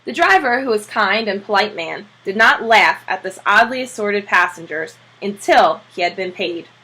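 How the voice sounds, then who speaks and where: A woman narrates a children's storybook expressively. Her voice is bright and sharp-edged, at a middle pitch for a woman, with some huskiness in it. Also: animated, moderate pace, husky, poor audio. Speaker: teacher reading aloud.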